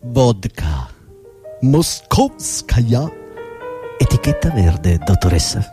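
An unhinged, vengeful sacked employee in a radio play. His pitch lurches dramatically and his delivery is slow and halting, with formal, repetitive phrasing. Music plays clearly behind him. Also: big pitch swings. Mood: sly, courteous. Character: vengeful, desperate, dismissed old employee, unhinged. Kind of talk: theatrical radio drama acting.

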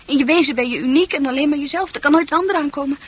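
High-voiced, dreamy young woman played by an actress. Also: very fast, lightly acted. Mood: pensive, intense. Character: dreamy, sensitive, troubled young female confiding her secrets. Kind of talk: Dutch radio play acting.

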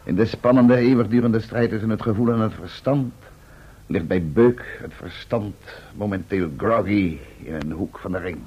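A man's voice: on the low side, normal speed, breathy edge, dull audio. A man acting a wry, bookish assistant with an articulate, florid way of talking in an old radio drama. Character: wry, bookish male expedition assistant who writes the chronicle.

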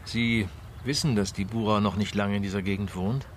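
An actor playing a dry-humoured, probing police inspector in a German radio crime drama, speaking deliberately with musing hums. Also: male voice, lowish voice, a little hiss or hum, lightly acted. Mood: musing, suspicious.

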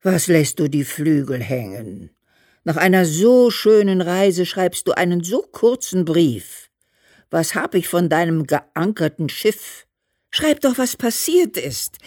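Animated, motherly old woman. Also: on the low side, takes it slowly, dry recording, clean studio sound, heavily acted. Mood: impatient, curious. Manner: motherly, emphatic, coaxing. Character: affectionate, demanding elderly mother, warm-hearted and curious. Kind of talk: German radio play, letter read as speech.

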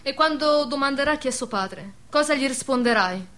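A woman's voice: high voice, lively intonation, moderate pace, fairly bright tone. An actress playing a steadfast, sorrowful, protective village woman in a radio drama, sombre, with a southern Lazio dialect. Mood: stern. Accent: southern Lazio Italian dialect.

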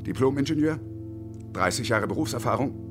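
A man's voice: medium pitch, animated, fairly quick, over music, close to an everyday voice. An actor playing a bitter, sardonic unemployed engineer in his early fifties in a radio drama, wordy, pedantic and ironic. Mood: bitter irony. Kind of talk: radio drama acting with inner monologue.

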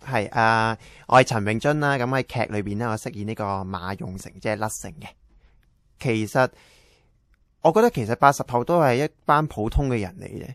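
A man's voice: on the low side, a little fast, no echo, clean studio sound. A young man with a Hong Kong Cantonese accent, talking plainly and repetitively in a casual interview. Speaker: interviewee, actor.

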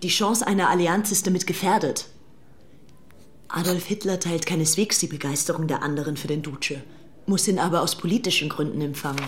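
A woman's voice: fairly low pitch, fairly even intonation, fairly quick, a little hiss or hum, lightly acted. An actress playing a spoiled, fervent young upper-class English woman in a dramatized documentary, self-assured and haughty. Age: young adult. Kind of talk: dramatized audiobook documentary, reading diary and letters.

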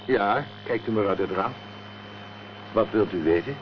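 Plain-spoken low-voiced caretaker, played by a man. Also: measured pace, lightly acted. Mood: plain, matter-of-fact. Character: plain-spoken, uneasy male building caretaker, eyewitness. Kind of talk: Dutch radio drama acting. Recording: dull old radio recording, faint noise.

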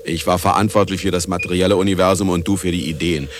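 Man acting middle-aged scientist, deep voice, pompous, world-weary, dry radio-play delivery. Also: fairly even intonation, fast, slight background noise, natural voice. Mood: accusing. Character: world-weary, self-important male scientist, evasive and dry.

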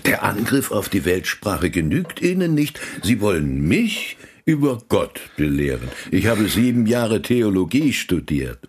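Pompous German priest, husky and low, lively intonation, condescending. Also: male voice, unhurried, few pauses, full character voice. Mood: scornful. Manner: condescending, lecturing. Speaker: priest character in radio play. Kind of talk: radio drama acting.

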